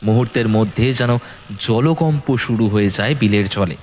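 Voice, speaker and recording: A man narrating a scripted horror story in a dramatic, suspenseful way with deliberate phrasing. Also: on the low side, darkish tone, slight background noise.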